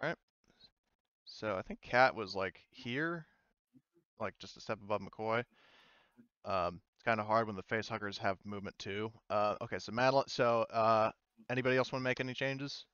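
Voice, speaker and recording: A man's voice: fairly low pitch, moderate pace, fairly bright tone, clear, resonant tone, dry recording, lo-fi sound. A man acting as game master for an online tabletop game, speaking informally with some swearing.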